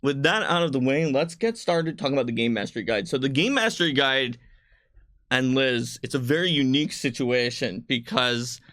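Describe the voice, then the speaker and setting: A man with a mid-pitched voice hosts a casual livestream interview. He speaks informally and a little haltingly, as a game designer handing questions over to a colleague. The recording is close and dry. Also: expressive, fairly bright tone, no echo.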